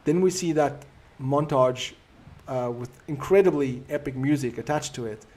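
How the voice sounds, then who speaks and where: A man with a South African accent, whose first language is Afrikaans, hosting a live stream and answering viewer comments in a relaxed, reflective way. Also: medium pitch, moderate pace. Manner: reflective, easygoing and conversational.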